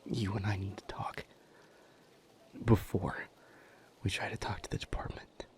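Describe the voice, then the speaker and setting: A man playing a protective, urgent demon lover in an intimate audio roleplay, speaking in an earnest, hushed way. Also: sits low, little pitch movement, unhurried, in a whisper. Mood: urgent, grave.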